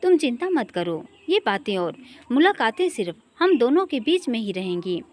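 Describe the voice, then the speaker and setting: A woman's voice: fairly high pitch, sing-song, normal speed, a little mellow. A woman voicing a wistful young man who confides his love story in an earnest, informal way. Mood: tender, teasing.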